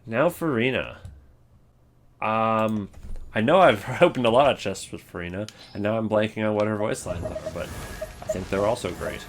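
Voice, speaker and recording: A man's voice: lowish voice, normal speed, a little hiss or hum. A man giving casual, unscripted commentary as a host, rambling and thinking aloud as he goes. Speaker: host ranking video game voice lines.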